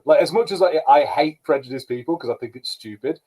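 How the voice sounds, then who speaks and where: A man's voice: fairly high pitch, fast, mellow, husky, rough recording. A man co-hosting a podcast in casual chat, restarting his sentences often as he gives opinions. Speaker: podcast co-host.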